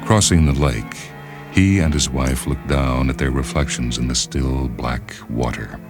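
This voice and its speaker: A man reading literary fiction as a narrator, in a measured, deliberate and solemn dramatic style. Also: very deep voice, steady tone. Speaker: narrator, short story reader. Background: background music.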